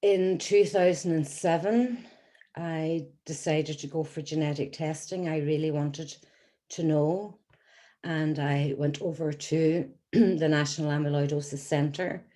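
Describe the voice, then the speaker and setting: An Irish woman in her sixties, a patient advocate telling her personal story to an audience in an earnest, measured way with frequent ums. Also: deep voice, fairly even intonation, dark tone. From Scotland, then Ireland. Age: 60s. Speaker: patient advocate giving a talk.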